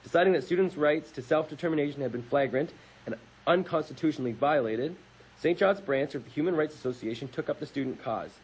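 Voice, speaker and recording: A man's voice: fairly high pitch, quick pace, a little mellow, slightly rough, poor audio. A young man co-hosting a campus radio show, casually reading a history piece aloud and correcting small slips as he goes. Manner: reads steadily with small slips and self-corrections.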